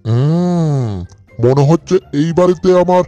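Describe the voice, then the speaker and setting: Man as a fretful parent crocodile, high, mellow. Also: measured pace, soft background music, close to an everyday voice. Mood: fretful, searching. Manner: self-narrating, fretting. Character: doting, fretful parent crocodile fussing over babies. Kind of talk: cartoon dub in Bangla.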